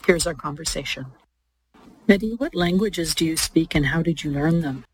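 A woman's voice: sits low, moderate pace, breathy edge, no room sound, low-quality recording. A woman hosting an interview podcast, reading introductions and putting questions to a guest.

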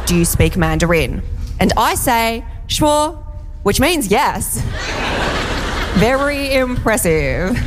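A woman comedian tells a story on stage in a dry, deadpan way. Her voice carries a long reverb from the hall. Faint room ambience sits underneath. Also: medium pitch, a little hiss or hum. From Australia. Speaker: stand-up comedian. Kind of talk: stand-up comedy set.

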